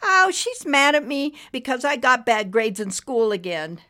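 A woman's voice: high-pitched, a little slow, voice pushed up, heavily acted. A woman voicing an eager, insistent young girl. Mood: nervous. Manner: urgent, excited, pleading.

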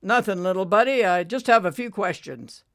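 A woman voicing a gentle, folksy older male trucker who is ailing, in an audiobook story. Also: mid-range voice, expressive, moderate pace, lightly acted. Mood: uneasy.

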